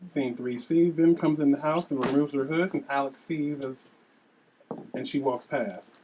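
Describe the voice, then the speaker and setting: A woman's voice: rumbling low, normal speed, mellow, slight background noise, low-quality recording. A woman reading scripted scene narration and stage directions at a table read.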